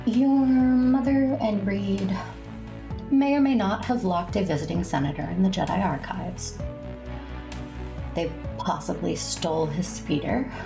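An actress voicing a wry woman teasingly recounting old family mischief with dry pauses. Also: lowish voice, vocal fry. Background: music.

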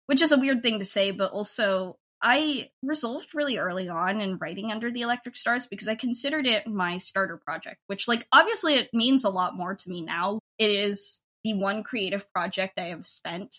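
A woman's voice: mid-range voice, animated, moderate pace, bright, forceful tone. A woman in a casual interview, talking effusively and informally as a creator, rambling a little as she goes. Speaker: podcast guest, audio drama creator.

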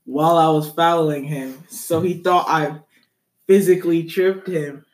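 A man's voice: on the high side, fairly even intonation, a little slow. A young man casually telling a story on a podcast, rambling and correcting himself as he goes. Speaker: podcast co-host.

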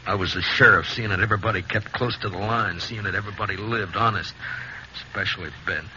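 An actor playing a middle-aged frontier sheriff and guilt-ridden father in a 1950s radio western, gruff and plain-spoken. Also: on the low side, steady tone, fast, bright, forceful tone. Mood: anguished. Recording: muffled, noisy 1950s radio recording.

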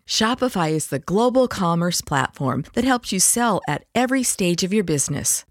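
Lively woman reading an ad. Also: medium pitch, no echo, studio quality. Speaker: ad narrator. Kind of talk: scripted ad read.

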